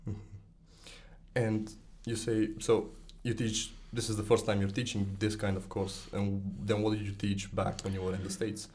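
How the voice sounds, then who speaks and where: A man's voice: fairly low pitch, flat delivery, normal speed, darkish tone. Young male podcast host, tentative questions. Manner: polite, slightly tentative questions. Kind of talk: podcast interview.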